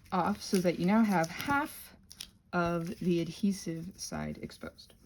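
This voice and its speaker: Female crafter, casual and theatrical, lively sing-song swings, meandering asides; phone-recorded with slight background noise. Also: lowish voice, vocal fry. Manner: whimsical, theatrical, self-interrupting, talks to objects. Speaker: craft video creator demonstrating butterfly wing prep. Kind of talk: rambling casual craft tutorial with asides to self.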